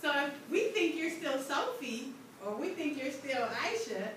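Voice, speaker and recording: A woman's voice: high voice, big pitch swings, a little slow, recorded from a distance. A woman teacher giving classroom instruction, asking lots of questions and checking that her class understands. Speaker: English teacher of a grade 7 class. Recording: echoey classroom from afar, faint noise.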